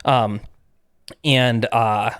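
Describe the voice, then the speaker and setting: A man's voice: medium pitch, extremely slow, clear, resonant tone, no echo, clean studio sound. A man guesting on a podcast, telling event stories in a casual chat and acting out the back-and-forth as he goes. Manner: lively anecdotes, acting out quoted exchanges with laughs.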